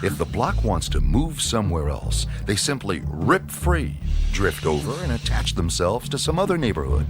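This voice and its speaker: Serial announcer: deep, animated, theatrical storytelling over loud music. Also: male voice, normal speed, few pauses. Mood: wry storytelling. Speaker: narrator. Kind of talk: radio drama narration.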